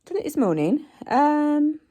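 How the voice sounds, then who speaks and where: A woman in her forties, hoarse from a cold, chatting casually in a home vlog recorded on her phone, rambling with plenty of ums and likes. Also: sits high, big pitch swings, a little slow, dark tone. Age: 40s. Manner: rambling, self-deprecating, many ums and likes. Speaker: vlogger. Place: living room at home. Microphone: phone camera.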